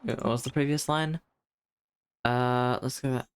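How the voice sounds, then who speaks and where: A woman comments casually on a game she is streaming. Her voice is extremely low, and she speaks slowly in short muttered asides. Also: steady tone, clean tone. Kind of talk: casual live reaction commentary.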